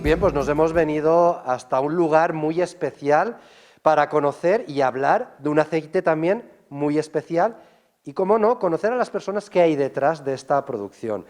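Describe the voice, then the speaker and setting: A man's voice: a little high, animated, moderate pace, a little mellow, soft background music. A man conducting a conversational on-location television interview, speaking in an effusive, complimentary way.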